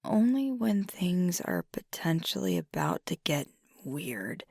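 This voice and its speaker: A woman playing an affectionate, teasing werewolf girlfriend in an ASMR roleplay, speaking in a soft, breathy, half-whispered voice with casual pauses. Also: lowish voice, wildly swooping pitch, no echo, studio quality, full character voice. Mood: thoughtful, wry. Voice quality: breathy, husky, gravelly fry. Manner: casual, unhurried, hesitant pauses. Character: fiery werewolf girlfriend, affectionate, teasing, weary pack member.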